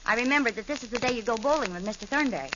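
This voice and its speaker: Gently needling housewife female performer, animated melody, fast delivery, classic radio comedy, muffled crackly sound. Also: fairly high pitch, natural voice. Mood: pleasant, chatty. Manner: patient, gently probing. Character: calm, practical housewife and mother, gently needling. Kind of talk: old-time radio situation comedy acting.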